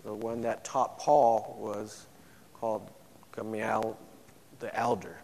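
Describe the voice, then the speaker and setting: A man with a low voice preaching a sermon in a church, speaking haltingly with frequent restarts. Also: level tone, darkish tone, a little hiss or hum. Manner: halting, many restarts and fillers. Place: church sanctuary. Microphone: microphone. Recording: long church-hall reverb.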